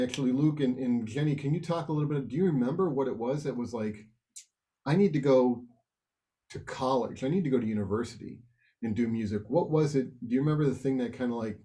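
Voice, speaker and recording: A man hosting an online panel, asking questions with encouraging, halting restarts. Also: mid-range voice, dark tone. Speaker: host.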